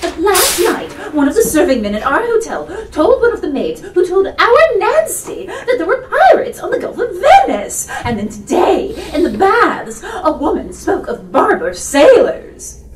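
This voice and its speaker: A woman voicing a melodramatic, fretful middle-aged English gentlewoman mother, wailing and overwrought in a storybook reading. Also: extremely high pitch, sing-song, unhurried, a little hiss or hum, pitched above the performer's own voice, full character voice. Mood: gossipy alarm. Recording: roomy bookstore reading, distant mic.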